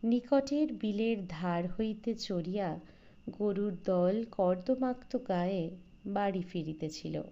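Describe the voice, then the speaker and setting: A woman's voice: mid-range voice, mellow, dull audio. A woman reading a literary short story as an audiobook narrator, in a scripted narration style.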